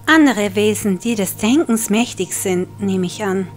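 A woman's voice: mid-range voice, unhurried, soft background music, close to an everyday voice. A woman playing a brusque, irritated goddess of justice in an audio drama. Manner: curt, irritated.

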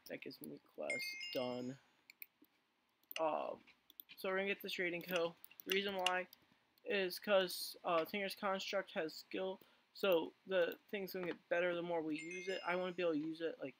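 A man hosting his own gaming videos, his voice hoarse and worn down as he talks through a game. He rambles in a casual way, full of ums and restarts, with a gravelly fry at the bottom of his voice. A faint hiss and mouse clicks sit behind him. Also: high-pitched, normal speed, fairly bright tone. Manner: rambling with many fillers and restarts. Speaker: gaming channel host. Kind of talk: casual let's play commentary.

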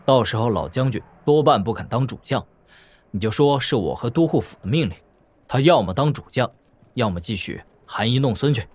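An actor playing a calm, assured young lord who commands troops with casual authority in an audio drama. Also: male voice, mid-range voice, brisk, natural voice. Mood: calm, reassuring. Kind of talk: Chinese audio drama acting.

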